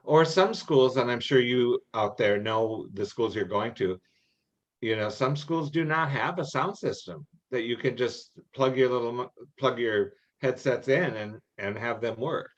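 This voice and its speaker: An older man answering questions in an informal meeting, explaining rules in a halting, anecdotal way. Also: mid-range voice. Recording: dull, lo-fi online call audio.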